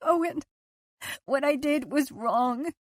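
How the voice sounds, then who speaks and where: A woman narrator voicing a frightened, guilt-ridden wife in an audiobook, tearful and pleading, her voice climbing toward shouts. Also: a little high, normal speed, breathy edge, no room sound, pitched above the performer's own voice. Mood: panicked. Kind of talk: audiobook character voice.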